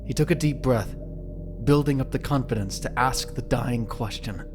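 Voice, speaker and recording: A man's voice: mid-range voice, normal speed. A man giving scripted, dramatic story narration for an audio drama. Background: background music.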